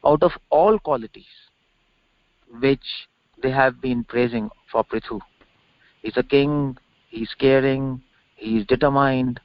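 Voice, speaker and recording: A man's voice: medium pitch, takes it slowly, pauses often, no echo. A middle-aged man lecturing on scripture off the cuff as a religious teacher, emphatic, repeating phrases and posing rhetorical questions. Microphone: conference call. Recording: dull lo-fi conference-call audio.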